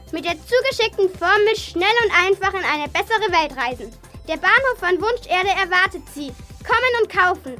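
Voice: very high voice, bright, forceful tone, full character voice. A child playing a slick female TV presenter delivering a salesy advert in a children's radio play. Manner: salesy, direct address. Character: Cookie, slick female TV presenter selling a miracle product.

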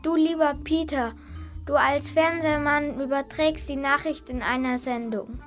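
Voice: high-pitched, fairly even intonation, a little slow, a bit bright, faint music behind. A child playing a greedy, scheming male villain in an amateur radio play. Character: greedy villain with a lightsaber hand, tall man.

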